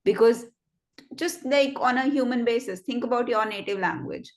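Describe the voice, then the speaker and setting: Chatty young woman giving friendly advice. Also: fairly high pitch. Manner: chatty, encouraging, quick asides with fillers. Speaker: host of a student network session. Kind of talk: interactive online session, casual advice.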